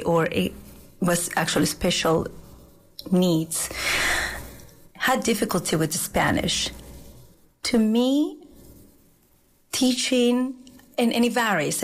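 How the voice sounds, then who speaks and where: A middle-aged woman teacher from Peru, answering interview questions in an earnest, reflective way with frequent restarts. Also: lowish voice. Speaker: teacher, guest.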